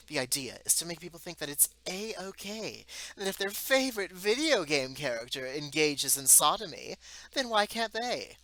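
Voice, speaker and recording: A man's voice: fairly high pitch, pushed and bright, rough recording. A man giving a mock-dramatic reading of an article, with a theatrical, melodramatic delivery of exaggerated outrage. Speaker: reader.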